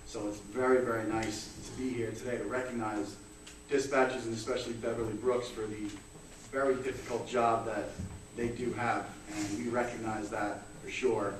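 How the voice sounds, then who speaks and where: A police detective, a man with a slightly low voice, offers public congratulations. He speaks in a measured, halting way, away from the microphone in an echoey room. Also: flat delivery. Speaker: police detective, union representative. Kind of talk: short congratulatory public speech. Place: indoor public meeting room. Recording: echoey room, distant mic, background noise.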